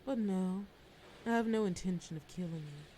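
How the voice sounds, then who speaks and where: A woman's voice: on the low side, moderate pace, mellow, a little hiss or hum, natural voice. A woman playing a sly, flirtatious mafia boss in an audio roleplay, with a wry, teasing, formal way of talking. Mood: smug, coaxing. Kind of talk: scripted audio roleplay acting.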